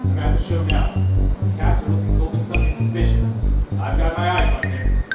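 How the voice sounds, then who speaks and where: A man's voice: lowish voice, wildly swooping pitch, brisk, vocal fry, recorded from a distance, heavily acted. A man playing a suspicious, urgent investigator in a theatrical stage play, declaiming his lines. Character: suspicious, urgent male investigator tailing a circus showman. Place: indoor theater stage, distant mic. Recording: echoey theater from afar, music behind.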